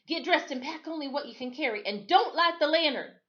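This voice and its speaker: A woman voicing a cautious, tender father in a storybook, giving hushed and urgent warnings. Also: high voice, expressive, fairly quick, muffled recording, voice pushed up. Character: cautious, tender enslaved father guiding his family.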